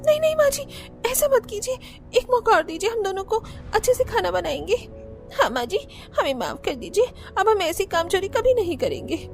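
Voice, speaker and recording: High, lively cartoon daughter-in-law, smug and scheming, light music behind. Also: female voice, exaggerated voice. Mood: pleading. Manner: bright, smug, quick to plead. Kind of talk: cartoon voice acting.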